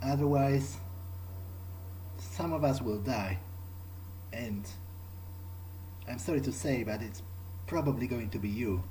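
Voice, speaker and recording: A man's voice: mid-range voice, slow pace, long pauses, mellow, slight background noise, low-quality recording. A man doing in-character commentary on a video game playthrough, thinking aloud in short, hesitant phrases. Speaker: gamer playing in character. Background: game music.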